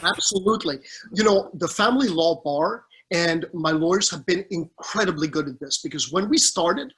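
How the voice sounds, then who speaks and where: A middle-aged man from the Caribbean with a high, bright voice and a husky edge, answering questions over a video call. He talks earnestly, restarting sentences and leaning on fillers as he explains his work. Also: animated, moderate pace, low-quality recording. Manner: earnest, warm toward family, speaks with restarts and fillers. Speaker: family law judge.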